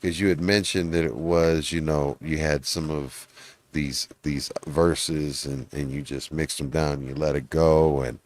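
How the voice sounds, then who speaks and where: A man hosts a casual podcast with a very low, deep voice. His talk is laid-back and slangy, and the sound is close and dry with no room echo. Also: steady tone, a little slow, a little mellow. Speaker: podcast host. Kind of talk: casual interview hosting.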